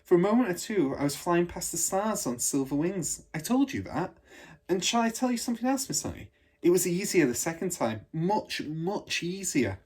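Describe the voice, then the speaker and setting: A man voicing an eager, inquisitive, excitable young schoolgirl from a children's storybook, in a breathless manner. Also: sits high, normal speed, slightly rough, pitched above the performer's own voice, full character voice. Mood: eager, confiding. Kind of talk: children's storybook read aloud.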